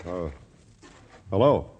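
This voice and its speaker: An actor playing a fed-up, defensive local neighbor in a vintage radio drama, talking in a halting, folksy way. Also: male voice, deep voice, lively intonation, muffled recording, background noise. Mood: awkward, guarded.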